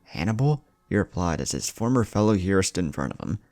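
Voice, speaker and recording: A man's voice: low-pitched, expressive, quick pace, gravelly, a little mellow, no room sound, studio quality. A man narrating a scripted fan fiction story.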